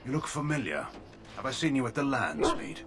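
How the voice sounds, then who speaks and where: An actor playing a stern, proud older army general in a video game, speaking in a clipped, dismissive, formal way. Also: male voice, medium pitch, sing-song, brisk, a bit bright, faint music behind.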